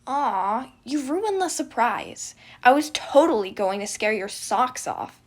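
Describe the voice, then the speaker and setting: A woman voicing a brash, mischievous young man, a crude and chaotic twin brother who exclaims and teases loudly in an audio story. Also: a little high, lively intonation, takes it slowly, pitched above the performer's own voice. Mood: gleefully crude. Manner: loud, exclaiming, teasing.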